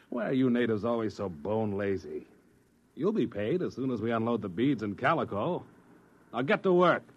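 A man's voice: mid-range voice, sing-song, moderate pace, muffled recording, slight background noise, close to an everyday voice. An actor in an old radio drama playing a bullying, bigoted male colonist with a menacing, contemptuous manner, on an old recording. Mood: sneering.

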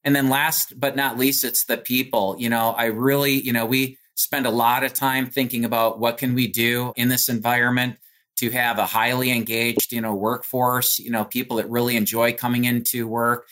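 American man, executive interviewee, average pitch, bright clear-cut tone, conversational business style, earnest, frequent "you know". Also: little pitch movement, normal speed. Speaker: company executive being interviewed.

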